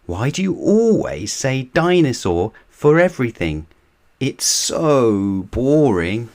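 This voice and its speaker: Brief: excitable little girl piglet, high and pleading, put on by a male reader. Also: slow pace. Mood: bossy. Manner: eager and pleading.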